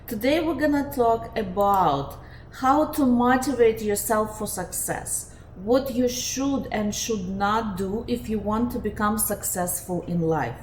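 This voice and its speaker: A woman's voice: mid-range voice, a little mellow. A woman with a Russian accent, a life coach hosting a live webinar, talking steadily and earnestly with frequent fillers. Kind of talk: live webinar talk to viewers. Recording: echoey room, faint ambient noise.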